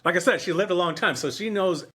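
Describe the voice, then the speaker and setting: A man in his fifties co-hosting a casual book discussion, speaking haltingly and often circling back to repeat his phrases. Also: high voice, fairly bright tone, slightly rough. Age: 50s. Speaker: podcast host.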